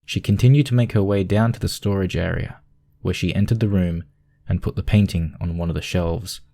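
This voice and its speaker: A man's voice: deep voice, fairly even intonation, brisk, creaky, warm tone. A man narrating a scripted piece of fiction as a storyteller.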